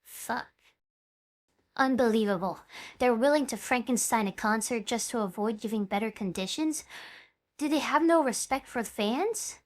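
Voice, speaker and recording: A woman's voice: a little high, moderate pace, close to an everyday voice. A woman playing a scheming, defiant young idol streamer in a scripted audio drama, insistent and theatrical. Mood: indignant, plotting.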